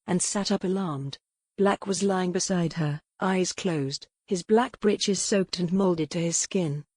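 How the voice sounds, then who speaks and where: A woman narrating a dramatic romance audiobook in a measured, solemn storytelling manner. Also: fairly low pitch, a little mellow, dry recording, studio quality.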